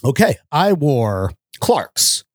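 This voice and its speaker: A man's voice: medium pitch, sing-song, very slow, no echo, studio quality. A man co-hosting a podcast, laid-back and slangy, laughing often. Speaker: podcast co-host. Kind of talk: casual podcast banter.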